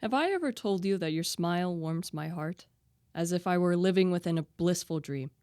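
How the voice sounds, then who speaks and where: A woman's voice: lowish voice, expressive, moderate pace, darkish tone, clean tone, no echo, studio quality, lightly acted. A young woman playing a tormented, guilt-ridden young man in a radio play, with earnest, formal literary diction. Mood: tender, uneasy. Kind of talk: student radio play acting.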